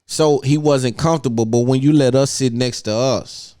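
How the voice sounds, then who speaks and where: A man's voice: medium pitch, moderate pace, dark tone, dry recording. Emphatic male podcast host, dry close sound. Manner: emphatic, repeats phrases for emphasis. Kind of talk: opinionated podcast monologue.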